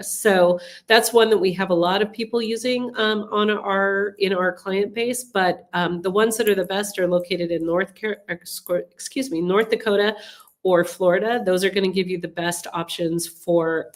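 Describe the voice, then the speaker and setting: A middle-aged woman with a cold, hosting a live webinar Q&A as a relocation advisor and answering viewer questions in a chatty, explanatory way with frequent ums and restarts. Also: fairly low pitch. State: has a cold, sniffling. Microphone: computer mic on a webinar call.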